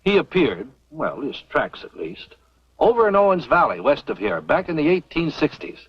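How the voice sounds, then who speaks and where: An actor playing a kindly, thoughtful older professor with a husky voice and a measured, avuncular way of explaining, in a vintage Western radio drama. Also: male voice, fairly high pitch, very animated, no echo, full character voice. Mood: intrigued, explanatory. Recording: dull old radio recording, faint music.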